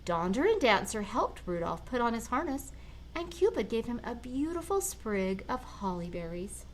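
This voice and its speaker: An older woman, a grandmother, gently reading a children's story aloud and talking to a child. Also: fairly high pitch, big pitch swings, a little hiss or hum, low-quality recording. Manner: gentle, slow, speaking to a child.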